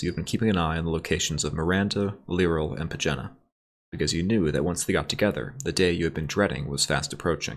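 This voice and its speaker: A man hosting a let's play, chatting casually and reading the game's story text aloud, his voice a little raspy from congestion. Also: low voice, slight background noise. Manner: relaxed, thinking aloud while shopping for upgrades.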